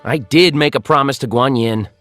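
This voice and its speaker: An actor voicing an impulsive, hot-tempered monkey hero in an animated children's story, with theatrical, exclamatory delivery. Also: medium pitch, moderate pace, soft background music, lightly acted. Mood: resigned, grateful. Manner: theatrical, exclamatory, swinging from grumbling to wailing.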